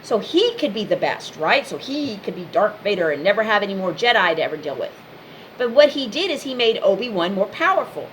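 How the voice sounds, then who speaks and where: A woman's voice: mid-range voice, sing-song, fairly quick, a bit bright, a little hiss or hum, rough recording. A woman giving an impassioned, informal monologue straight to the listener, speaking in emphatic, repetitive short phrases.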